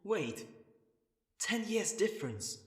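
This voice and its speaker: A woman's voice: mid-range voice, very animated, slow pace. A woman playing an anxious, argumentative agent in a science fiction audio drama, tense and defensive. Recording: echoey hall with long reverb.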